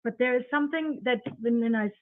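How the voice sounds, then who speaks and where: German-native woman singer as a guest, high-voiced, halting with many restarts, dry tinny sound. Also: no room sound. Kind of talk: casual interview chat.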